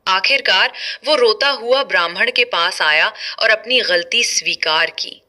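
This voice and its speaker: A woman's voice: mid-range voice, a little slow, bright, forceful tone. A woman telling a moral folk tale as a scripted narration. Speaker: storyteller.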